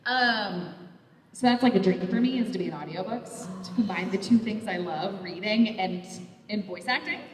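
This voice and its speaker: A woman's voice: medium pitch, normal speed. A woman voice actor on a convention panel, bubbly and enthusiastic. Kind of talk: casual panel chat. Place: large convention hall. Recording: echoey hall from far off with faint noise.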